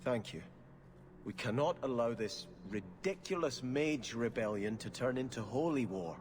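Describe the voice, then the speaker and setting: A man's voice: medium pitch, animated, normal speed, a little mellow, lightly acted. A man playing an earnest, devout man of faith in a fantasy video game, formal and pleading in delivery. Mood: earnest concern. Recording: long hall reverb, faint noise.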